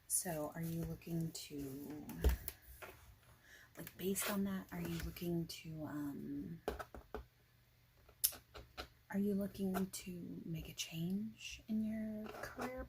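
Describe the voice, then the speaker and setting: A woman playing a friendly, informal boss in an ASMR interview roleplay, speaking softly and haltingly with whispered phrases. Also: on the low side, background noise. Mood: casual, welcoming. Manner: halting, casual, soft-spoken, with breathy whispered phrases.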